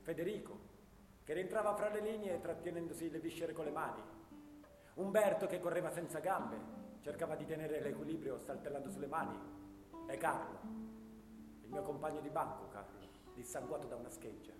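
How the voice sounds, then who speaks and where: An actor playing a haunted young First World War soldier, declaiming grave memories in a theatrical radio drama monologue. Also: male voice, a little high, a little fast, close to an everyday voice. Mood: anguished, grave. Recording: echoey hall, guitar music behind. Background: guitar music.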